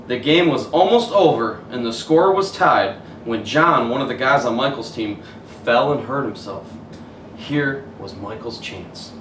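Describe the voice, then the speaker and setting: A man reading a children's picture book aloud as a coach would, pausing to point out the pictures. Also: medium pitch, fairly bright tone. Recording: roomy, distant mic, faint hiss.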